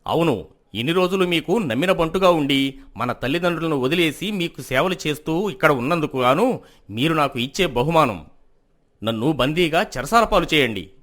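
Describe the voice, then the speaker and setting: Man as hot-headed, high-voiced royal brother-in-law. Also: lively intonation, normal speed, a bit bright. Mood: bitter, indignant. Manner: bitter, theatrical complaining. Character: aggrieved, hot-headed younger brother of a queen. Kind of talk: scripted Telugu moral-story dramatization.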